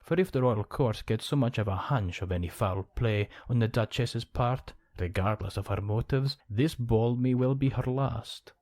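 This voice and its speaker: A man's voice: medium pitch, moderate pace, hardly any pauses, a little mellow, no room sound, close to an everyday voice. A man playing a suave, gossipy, scheming count in an ASMR roleplay, speaking in a genteel, theatrical manner. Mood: musing, conspiratorial. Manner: genteel, theatrical, arch phrasing.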